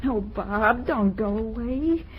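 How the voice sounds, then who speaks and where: Animated, stubborn young bride-to-be, old radio. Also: female voice, a little high, slow, lo-fi sound. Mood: anxious, pleading. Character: proud young bride-to-be, stubborn daughter, softly torn. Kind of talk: old-time radio drama acting. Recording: noisy old radio recording.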